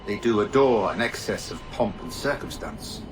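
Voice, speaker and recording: An actor playing a dignified, agreeable politician in a video game cutscene, formal and considered. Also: male voice, fairly low pitch, lively intonation, moderate pace, close to an everyday voice. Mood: cautious, agreeable. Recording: clear background noise.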